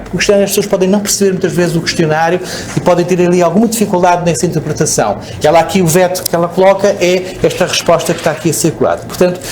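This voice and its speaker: A man's voice: high-pitched, darkish tone, slight background noise. European Portuguese man giving a conference talk. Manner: explanatory, frequent "ok?" checks and restarts. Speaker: speaker at a conference. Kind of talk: conference presentation. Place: conference hall.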